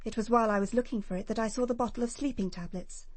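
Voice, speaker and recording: Actress doing prim english nurse in a radio whodunit, very precise, sure of herself, dry studio sound. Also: female voice, a little high, very fast, a little mellow, no room sound, close to an everyday voice. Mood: composed, defensive. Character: prim, assured young nurse giving police testimony. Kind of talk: British radio crime drama acting.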